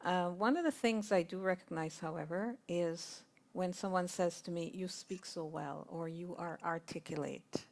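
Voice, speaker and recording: A woman's voice: fairly low pitch, moderate pace, vocal fry, hardly any pauses, darkish tone. A woman, sharing thoughtful reflections in a group discussion at a spiritual centre. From Guyana. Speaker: congregation member sharing.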